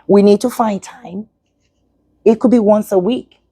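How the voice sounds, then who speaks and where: A woman's voice: mid-range voice, unhurried, dark tone, slightly rough, no echo, studio quality. A middle-aged woman from Nigeria whose first language is Yoruba, speaking as an educator guest in an interview and giving earnest advice to parents. She repeats her points for emphasis.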